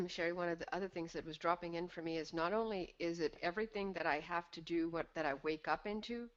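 A woman's voice: fairly low pitch, fast. A woman hosting a call-in show, speaking in an unscripted, reflective conversational style. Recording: slightly dull, band-limited call audio.

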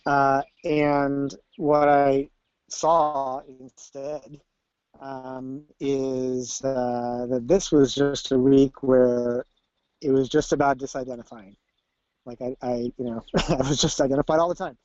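A man calling in by telephone, sharing reflections casually and hesitantly, with long searching pauses. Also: medium pitch, flat delivery, a little mellow, clean tone, no room sound. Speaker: caller. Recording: slightly dull, dry phone sound.

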